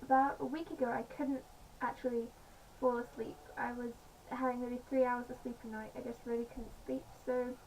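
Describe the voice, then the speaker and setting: A woman with a slightly croaky voice, chatting casually in a home vlog with many pauses and fillers. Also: a little high, flat delivery, darkish tone. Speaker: vlogger. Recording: distant mic, faint background noise.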